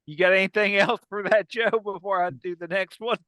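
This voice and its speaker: A man's voice: high voice, fairly even intonation, a little fast, pushed and bright, dry recording, clean studio sound. A man hosting a podcast, chatting in a casual, joking way with the other people on the show. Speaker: podcast host. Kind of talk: casual joking panel chat.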